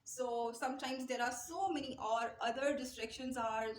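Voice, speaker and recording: A woman's voice: sits high, steady tone, moderate pace, echoey room, a little hiss or hum. A young Pakistani woman with a Pakistani accent gives an earnest English-speaking lesson straight to camera, repeating her points and gesturing with her hands. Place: small indoor room, light wall behind.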